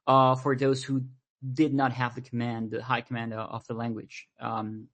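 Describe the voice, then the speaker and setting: Man, rambling guest talk. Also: medium pitch. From Venezuela. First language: Spanish. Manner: rambling, repeats words while thinking. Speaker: podcast guest. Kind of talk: casual conversation.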